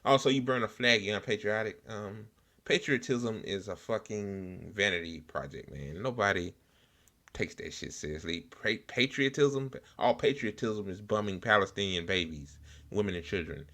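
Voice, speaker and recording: An American man hosting a political commentary show, speaking casually and unscripted in an informal, halting way. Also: lowish voice, lively intonation, sharp tone. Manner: informal, hesitant, halting delivery with blunt slang.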